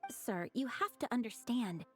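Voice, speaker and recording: A woman's voice: on the high side, lively intonation, a little slow, fairly bright tone. A woman voicing a firm, by-the-book librarian in a video game, polite and officious. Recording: music under the voice.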